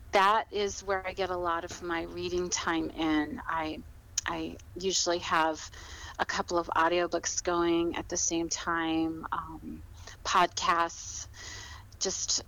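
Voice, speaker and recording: A woman's voice: on the low side, bright, forceful tone, poor audio. Chatty middle-aged woman. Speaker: podcast guest, author. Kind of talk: casual interview chat.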